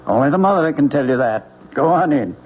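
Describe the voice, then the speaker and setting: A man's voice: a little high, expressive, fairly quick, a little hiss or hum, lightly acted. An actor playing a kindly, reassuring male doctor in an old-time radio drama. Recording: dull old radio recording, loud music.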